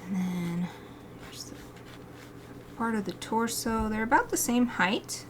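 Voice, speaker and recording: A woman's voice: on the high side, lively intonation, slow pace, slight background noise. An American woman chatting and laughing to herself while drawing live, reading viewer comments aloud. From United States. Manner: chatty, giggly, talks to herself and reads chat aloud. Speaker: artist streaming live drawing.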